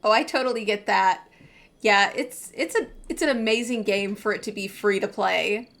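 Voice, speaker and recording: A woman's voice: mid-range voice, moderate pace, gravelly, fairly bright tone. A woman chatting casually and enthusiastically as she comments on a game during a live stream. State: getting over a cold.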